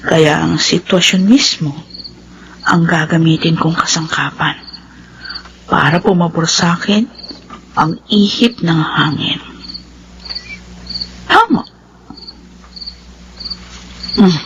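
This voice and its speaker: A young Filipino woman, scheming behind a coy, sweet front. She draws out her phrases and slips into breathy plotting asides in a radio drama, with faint ambient noise behind her. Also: low-pitched, slow pace, long pauses, fairly bright tone, muffled recording, heavily acted.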